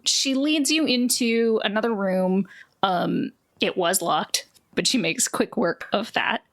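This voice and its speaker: A woman's voice: medium pitch, slow. A woman game master narrating a tabletop game in a casual, improvised, playful way.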